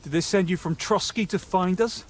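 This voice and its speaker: An actor playing a nervous, gullible young medieval bandit in a game, with a hesitant, stammering, excitable way of talking. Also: male voice, sits high, normal speed. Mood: agitated, panicked. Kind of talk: video game dialogue acting.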